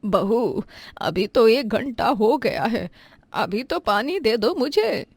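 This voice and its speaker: Female narrator as meek Indian-family matriarch, older, affectionate and imploring, lively pitch movement. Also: slow pace, breathy edge, no echo, full character voice. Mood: pleading. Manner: pleading, affectionate, self-effacing. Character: meek, kindly, thirsty elderly mother-in-law.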